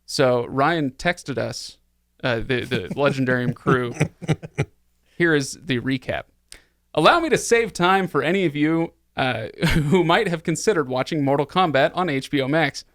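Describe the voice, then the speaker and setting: A man's voice: fairly high pitch, unhurried, a bit bright, no room sound, studio quality. A man hosting a podcast in casual chat, with jokey, tongue-in-cheek banter. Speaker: podcast host.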